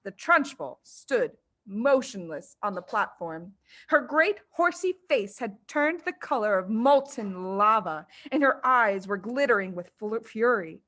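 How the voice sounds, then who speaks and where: A woman reading a children's story aloud as expressive scripted narration. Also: medium pitch, measured pace. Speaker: storybook reader.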